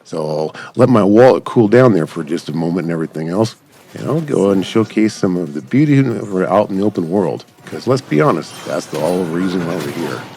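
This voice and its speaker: A man's voice: sits low, lively intonation, brisk, darkish tone, slight background noise. A man giving casual, rambling gameplay commentary as a gaming YouTuber, wordy and informal. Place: voice-over on game footage. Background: music.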